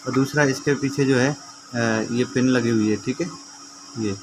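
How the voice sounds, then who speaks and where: A man's voice: mid-range voice, steady tone, normal speed. A man gives an informal hands-on repair demonstration, explaining step by step and often checking in with viewers. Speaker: repair tutorial presenter. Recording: clearly noisy amateur recording with ambience.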